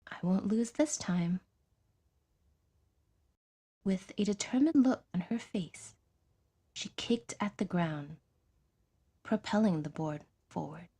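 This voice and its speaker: A woman reading scripted fiction as an audiodrama narrator, with a measured, literary delivery. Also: lowish voice, warm tone, husky.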